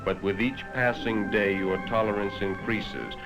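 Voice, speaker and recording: Low-pitched American man, grave 1960s film narrator. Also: steady tone, measured pace. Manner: grave, dramatic, moralizing second-person address. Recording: vintage 1960s film soundtrack. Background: prominent music.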